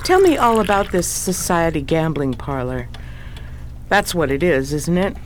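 A shrewd, wry lady sleuth from a 1920s radio crime play, acted by a woman. She is brisk and self-assured, with a slightly low voice and very animated intonation over faint noise. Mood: knowing. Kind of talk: old-time radio drama acting.

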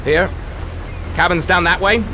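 A man's voice: sits high, measured pace, background noise. A man playing a rude, impatient ship's captain in a radio drama, curt and barking. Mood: gruff, impatient. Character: gruff, rude, impatient coaster ship captain.

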